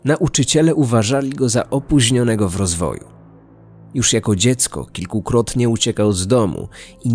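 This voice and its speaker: Guy with a lowish voice narrating a true crime podcast, faint music under him.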